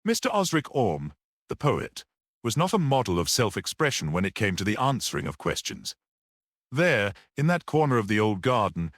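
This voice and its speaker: A man's voice: lowish voice, a bit bright, dry recording, clean studio sound. A man reading a mystery story aloud as an audiobook narrator.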